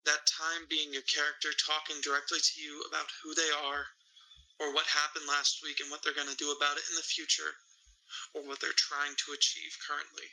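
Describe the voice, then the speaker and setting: A man reads an explanatory monologue in a slightly high, very bright voice. His pitch barely moves, and he keeps the delivery deliberate and free of emotion. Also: monotone, gravelly, poor audio. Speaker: guest wrestling fan explaining promos.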